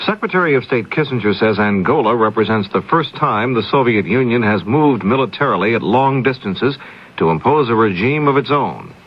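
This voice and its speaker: A man's voice: medium pitch, lively intonation, brisk, slightly rough, highs cut off. A man reading a scripted 1970s radio news bulletin as a newscaster, on an old AM radio recording.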